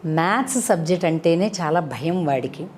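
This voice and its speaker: A woman's voice: lowish voice, expressive, moderate pace, a little mellow, slight background noise. A woman gives a devotional discourse with moral storytelling, teaching conversationally and putting rhetorical questions to her listeners. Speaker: spiritual speaker and storyteller.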